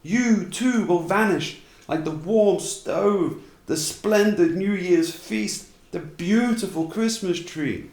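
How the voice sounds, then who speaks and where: Guy doing a little girl from a storybook, high voice, slow earnest begging, bit echoey. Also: darkish tone. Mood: desperate pleading. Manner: slow, beseeching. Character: pleading little orphan girl, earnest and innocent.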